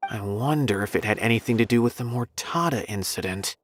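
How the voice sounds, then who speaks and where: An actor playing an earnest young officer in a video game, with an expressive way of talking. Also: male voice, lowish voice, fairly quick, faint music behind, natural voice. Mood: thoughtful.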